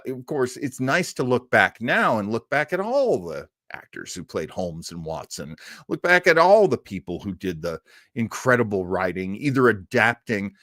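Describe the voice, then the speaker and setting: An animated man hosts a casual livestream in a chatty, rambling fan style. His pitch moves up and down a lot, and the sound is close and dry. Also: no echo. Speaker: host of an old-time radio show. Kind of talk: casual livestream chat with audience.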